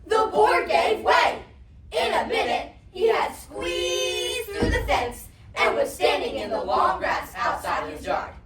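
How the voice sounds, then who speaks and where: High-pitched young female storyteller, echoey. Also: big pitch swings, slow. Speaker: narrator in student reader's theatre. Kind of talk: theatrical scripted storytelling. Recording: echoey hall, crowd noise, distant mic.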